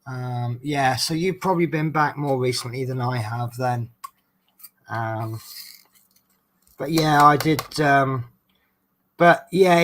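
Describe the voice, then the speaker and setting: A man's voice: mid-range voice, a bit bright, a little hiss or hum. A man with a Norfolk accent, chatting casually and rambling with lots of ums while doing a craft at home. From England. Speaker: vlogger.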